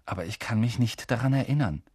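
A man doing a quiet modest boy. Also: medium pitch, lively intonation, fast, slightly rough, no room sound, clean studio sound. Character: quiet, modest eleven-year-old boy.

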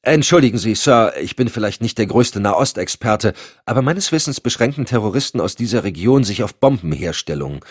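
A man's voice: lowish voice, animated, a little fast, hardly any pauses, slightly rough, dry recording, studio quality, voice pushed up. A man voicing a blunt, outspoken young male FBI agent. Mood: indignant. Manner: raised and blunt, later whispering. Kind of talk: audiobook acting.

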